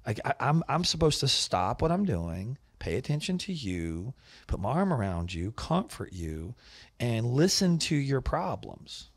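A middle-aged man hosting a podcast in a studio set on a boom mic, talking casually and growing animated and emphatic as he makes his points. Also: unhurried, breathy edge, no room sound. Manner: animated, gets loud and emphatic when making a point. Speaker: podcast host, business owner. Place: studio set with wooden walls and shelves. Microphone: boom mic with headphones.

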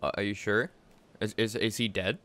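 A young man streaming a game from his room, chatting excitedly and repeating himself. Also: on the low side, normal speed. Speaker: gaming streamer. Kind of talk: casual gameplay chat. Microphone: desk mic, wearing headset.

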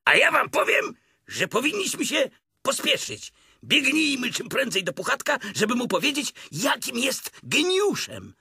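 A man voicing a boisterous, scatterbrained tiger in a children's story, exclaiming and roaring with excitement. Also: very high voice, a little slow, slightly rough, no room sound, clean studio sound, pitched above the performer's own voice, exaggerated voice. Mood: excited, urging. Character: boisterous, scatterbrained young tiger, bouncy and boastful.

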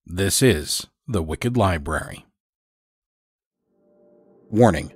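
A man hosting and narrating a horror fiction podcast, reading from a script in a measured, deliberate way. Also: sits low, expressive, breathy edge. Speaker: podcast host and story narrator.

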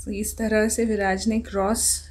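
A woman's voice: medium pitch, level tone, unhurried, darkish tone. A woman in her thirties hosting a home cooking show, chatting casually and asking guiding questions. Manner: encouraging, asks guiding questions. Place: home kitchen, indoors.

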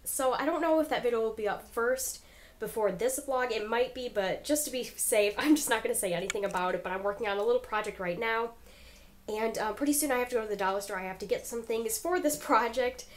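A woman's voice: on the high side, fairly quick, a little hiss or hum. A young woman vlogging casually in her bedroom, a little stuffed up from a cold, chatty with lots of ums. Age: young adult. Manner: chatty, many ums, upbeat. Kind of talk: casual chat. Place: bedroom indoors. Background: fan humming.

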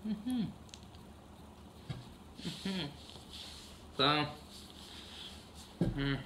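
A man's voice: a little high, lively intonation, drawn-out words, sharp tone, slight background noise. A man casually narrating a farming video game, humming "mm-hmm" between steps, recorded on a camera across a room. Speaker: gameplay narrator.

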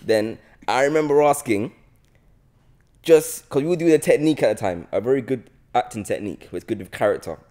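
A young London man hosts a casual chat in a mid-pitched voice with plenty of rise and fall. His talk is informal, slangy and playful. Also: animated, fairly quick, mellow. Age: 20s. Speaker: podcast host, actor. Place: bright living room, on a sofa. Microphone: podcast mic on a boom arm.

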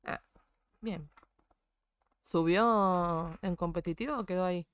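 Low-voiced Argentine woman, casual gamer chat. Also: slow pace, smooth, no room sound. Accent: Argentine Spanish. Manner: blunt, slangy and foul-mouthed. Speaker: game streamer. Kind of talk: casual chat while gaming.

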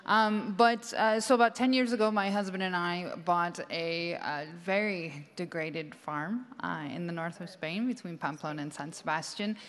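A woman's voice: fairly low pitch, normal speed, hardly any pauses, fairly bright tone. A woman in her forties with an American accent, speaking earnestly on a conference panel into a handheld mic, with many fillers and restarts. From United States. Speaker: panel speaker, farmer trainer. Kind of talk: conversational panel talk. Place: large conference stage with audience. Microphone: handheld microphone. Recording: long hall reverb.

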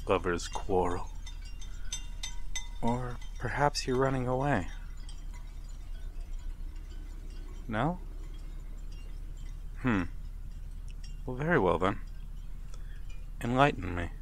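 A man playing a wistful, courteous vampire in a gentle, formal ASMR roleplay. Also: on the low side, a little hiss or hum. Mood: courteous, inviting. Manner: gentle, formal, halting with soft chuckles and sighs. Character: wistful, courteous old-world male vampire stranger by a harbour. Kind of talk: ASMR audio roleplay, slow intimate monologue.

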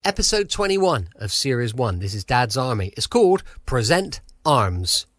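A man's voice: mid-range voice, very animated, normal speed, a bit bright, slight background noise. An English man hosting a podcast, chatting informally and casually to his listeners. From England. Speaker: podcast host.

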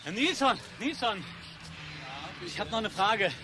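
An agitated man speaks in a high voice against clear traffic noise. He is urgent and probing, pressing his questions insistently in radio drama style. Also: lively intonation, a little slow. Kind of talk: radio play acting. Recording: noisy outdoor scene, traffic ambience. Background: outdoor traffic noise.

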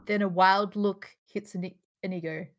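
A woman's voice: medium pitch, steady tone, moderate pace. A woman reading stage directions as the narrator of a live online table read. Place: home room, online video call.

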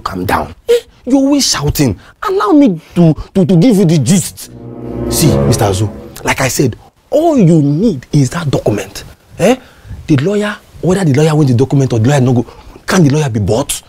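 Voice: medium pitch, huge pitch swings, fairly quick, over music. A performer playing a sly, two-faced Nigerian caretaker. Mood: scheming. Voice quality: wheedling, stammering, pleading. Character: scheming two-faced caretaker, smooth-talking.

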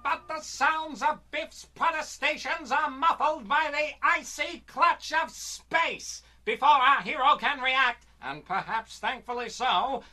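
A woman's voice: high-pitched, sharp tone. A woman playing a melodramatic announcer, narrating an old-time radio cliffhanger serial.

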